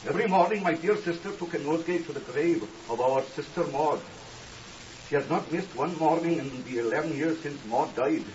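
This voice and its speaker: An actor playing an earnest, stiffly formal young Welsh scholar in a 1950s radio drama, polite and deferential with singsong phrasing. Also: male voice, fairly high pitch, brisk, darkish tone, recorded from a distance, lightly acted. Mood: earnest, insistent. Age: young adult. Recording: muffled hissy old radio recording, off mic.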